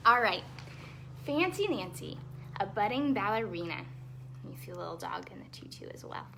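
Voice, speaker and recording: A woman's voice: medium pitch, very animated, normal speed, creaky, background noise. A woman hosting a children's storytime, reading aloud expressively with chatty asides and explaining words simply for young listeners.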